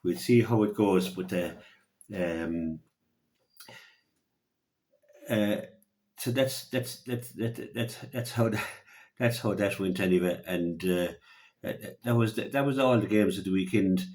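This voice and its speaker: Male local radio sports pundit, Irish accent, very low voice, halting off-the-cuff delivery with repeated words. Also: darkish tone. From Ireland. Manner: halting, repeats words as he gathers his thoughts. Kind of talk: unscripted sports commentary and opinion.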